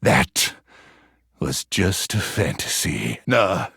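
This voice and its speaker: A man voicing a macho, melodramatic microwave who acts like a gung-ho action hero in a video game, with a gravelly, husky voice and bombastic, theatrical delivery. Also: low voice, very animated, extremely slow, dry recording, studio quality, exaggerated voice. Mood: brooding, dejected. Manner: bombastic, theatrical swings from brooding to shouting. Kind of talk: video game character acting.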